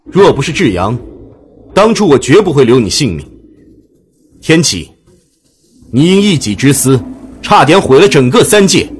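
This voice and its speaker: A man's voice: fairly high pitch, big pitch swings, moderate pace, pauses often, slightly rough. A man playing a cold, aloof, commanding god in an audio drama, with a stern, haughty delivery. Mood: stern, accusing.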